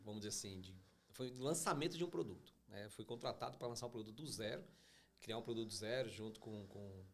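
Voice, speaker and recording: Guest, male financial consultant, quick delivery, gravelly fry, explains with many restarts. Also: fairly low pitch, slight background noise. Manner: fast, explanatory, frequent restarts. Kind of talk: casual interview chat.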